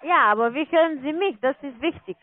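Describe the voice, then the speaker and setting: A woman working as a call center agent, making a sales pitch over the phone. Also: brisk, dry recording. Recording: tinny narrow phone line.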